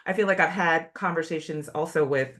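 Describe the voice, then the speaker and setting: A host speaking in a reflective, affirming way, pausing often with fillers as she builds her points. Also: mid-range voice, fairly bright tone. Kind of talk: conversational interview.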